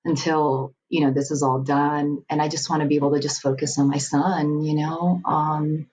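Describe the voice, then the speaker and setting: A woman's voice: deep voice, level tone, moderate pace, darkish tone, a little hiss or hum, low-quality recording. A woman in her forties talking candidly in a casual interview, laughing often. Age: 40s. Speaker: guest. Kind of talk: casual conversation.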